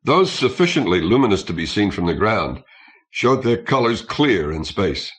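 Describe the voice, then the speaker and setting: Man, very deep voice, lively intonation, measured literary reading, science fiction narration. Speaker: audiobook narrator.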